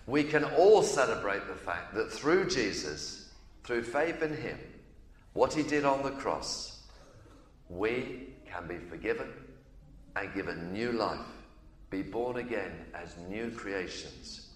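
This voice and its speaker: A man's voice: medium pitch, fairly bright tone. A man preaching at a baptism service as pastor, encouraging the congregation. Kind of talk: sermon at a baptism. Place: church. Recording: echoey church room.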